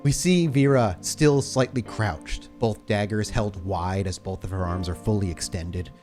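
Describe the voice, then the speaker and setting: A man with a fairly low voice acts as game master for a horror roleplaying game. He improvises descriptive, cinematic narration while guitar music plays clearly behind him. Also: unhurried.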